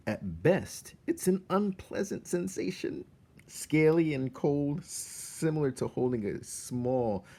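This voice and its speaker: A man reading a children's novel aloud as dramatic narration, deliberate and suspenseful, with pauses for effect. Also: sits low, mellow, husky. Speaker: storybook reader.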